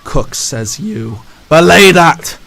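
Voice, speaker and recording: A man playing a gruff, bloodthirsty pirate captain with a stage pirate accent, barking orders in a radio play. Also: fairly high pitch, animated, slow pace, fairly bright tone, full character voice. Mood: gruff, menacing. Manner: barking orders, archaic sailor talk. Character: gruff, commanding, bloodthirsty old-style pirate sea captain.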